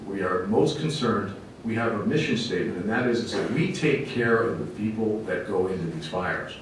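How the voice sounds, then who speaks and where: Lower-pitched man, fire officer presenting, emphatic, plainspoken, folksy, very reverberant meeting room. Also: expressive, moderate pace. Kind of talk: public presentation to a council committee. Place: large echoey indoor meeting room. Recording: very echoey room, distant mic, faint rumbling noise.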